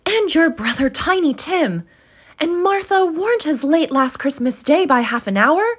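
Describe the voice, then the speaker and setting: A woman's voice: high voice, lively intonation, measured pace, voice pushed up. A woman doing a fussing, fond old-fashioned mother. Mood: fretful. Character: warm fussing mother, middle-aged woman.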